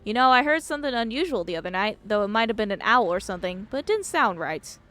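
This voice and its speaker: A friendly, candid younger mine worker from a story game, read out by a woman. The delivery is easygoing and open. It sits at a middling female pitch with animated movement. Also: quick pace. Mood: candid, puzzled.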